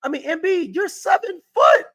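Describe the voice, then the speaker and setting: Guy with a high voice ranting about basketball, keeps repeating himself, kinda hoarse. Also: moderate pace. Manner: animated, emphatic, repeats himself. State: losing his voice. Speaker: podcast co-host. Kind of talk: casual sports chat.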